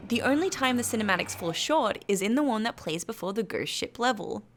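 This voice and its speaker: A woman's voice: fairly high pitch, lively intonation, a little fast. British woman narrating, faint background noise. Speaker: narrator of a video game review. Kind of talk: scripted narration.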